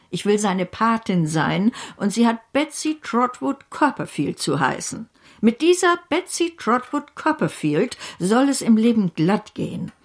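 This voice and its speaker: Bossy eccentric great-aunt, brusque and cutting but means well, radio play style. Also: female voice, fairly high pitch, exaggerated voice. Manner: imperious, brisk, cutting. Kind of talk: German radio play acting.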